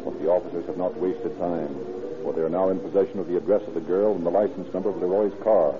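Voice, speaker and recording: A man's voice: low-pitched, fairly even intonation, quick pace, lightly acted. A man narrating a police case in an old-time radio crime drama, on a scratchy, muffled vintage recording. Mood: grave, matter-of-fact. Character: grave male announcer narrating a police case.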